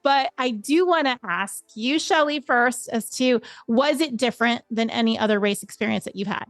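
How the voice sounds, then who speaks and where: A woman's voice: on the high side, moderate pace, no room sound. A woman hosting a conversational podcast interview, chatty and encouraging, with long, wordy questions. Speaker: podcast host.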